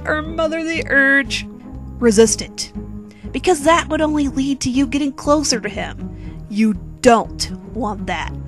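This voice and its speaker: Female narrator, higher-pitched, playful, wry, dramatic comic reading, direct address, soft background music. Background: faint music.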